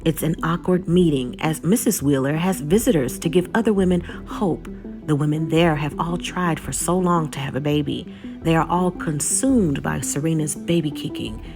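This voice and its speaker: A woman narrating a scripted episode recap as the host of a video channel. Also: low-pitched.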